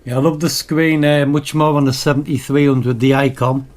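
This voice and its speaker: A man talking off the cuff as a radio hobbyist reviewing his gear, in a halting, repetitive, enthusiastic way. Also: medium pitch, fairly even intonation, a little mellow. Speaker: radio hobbyist presenting own channel. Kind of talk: casual off-the-cuff equipment review.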